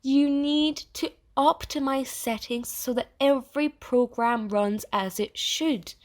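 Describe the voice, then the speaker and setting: Slow-paced, earnest AI voiced by a woman. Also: high-pitched. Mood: exasperated. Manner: conversational, wry, halting, emphatic pauses. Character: earnest artificial intelligence, fond of yet disappointed in humanity. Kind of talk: audio theatre monologue addressed to the listener.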